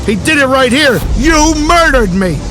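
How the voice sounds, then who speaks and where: An actor playing an anguished, dramatic monster made of dead men in a crime audio drama. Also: male voice, very high voice, lively intonation, a little slow. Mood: anguished, urgent. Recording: loud music and effects behind.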